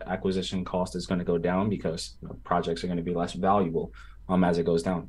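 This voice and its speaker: A man being interviewed as a startup founder over a video call, explaining things casually and haltingly with many pauses. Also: deep voice, a little hiss or hum. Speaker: interview guest, crypto project founder. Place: home room with gaming chair, on video call. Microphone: desktop microphone on a video call.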